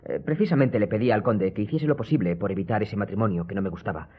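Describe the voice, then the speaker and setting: Earnest young viscount, low voice. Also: male voice, quick pace, slightly rough. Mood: hesitant. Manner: hesitant, earnest, polite. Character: earnest, polite young viscount, admiring friend. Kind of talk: Spanish radio drama acting. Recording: dull lo-fi radio recording.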